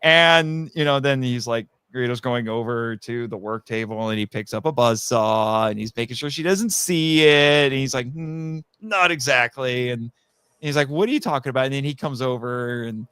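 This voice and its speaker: Bright, clear-voiced man chatting animatedly. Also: mid-range voice, moderate pace, dry recording. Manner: animated storyteller, acts out quotes. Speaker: guest, audio drama writer. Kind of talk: casual chat.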